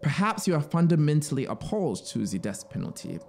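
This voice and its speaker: A man's voice: on the high side, big pitch swings, fairly quick, darkish tone, faint music behind, voice pushed up. A man playing a zealous, scheming penal colony officer in a story, emphatic and persuasive. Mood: confiding, suspicious. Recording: clear background synthesizer ambience.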